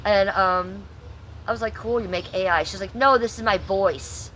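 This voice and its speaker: A woman's voice: mid-range voice, normal speed, fairly bright tone, a little hiss or hum, low-quality recording. A middle-aged woman from Ohio, talking bluntly and sarcastically to her chat on a livestream, swearing freely. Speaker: livestream host.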